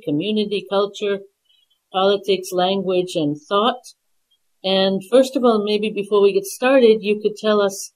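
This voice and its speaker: A woman's voice: mid-range voice, a little mellow, poor audio. A woman hosting a radio interview, asking polite, welcoming questions. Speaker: show host.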